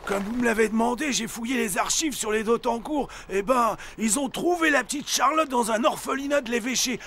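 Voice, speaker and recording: A man voicing a nervous, obedient henchman reporting to his boss in an animated series. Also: very high-pitched, a little fast. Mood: eager reporting. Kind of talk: French animated series dialogue. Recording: music behind the voice.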